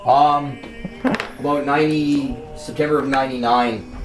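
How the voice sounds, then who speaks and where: A man from Canada, a singer being interviewed, telling stories in a loud, relaxed and frank way. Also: medium pitch, moderate pace, music in the background. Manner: loud, relaxed storyteller with a frank sense of humor. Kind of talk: casual interview.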